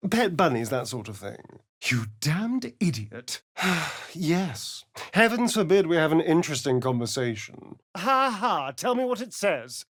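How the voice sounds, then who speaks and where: An actor playing a sardonic, flirtatious male vampire in a fantasy video game, arch in manner, with a breathy voice. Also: on the high side, very animated, a little slow, a bit bright, exaggerated voice. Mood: wry. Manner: arch, flirtatious, swooping from purrs to exclamations. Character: preening, sardonic male vampire spawn companion.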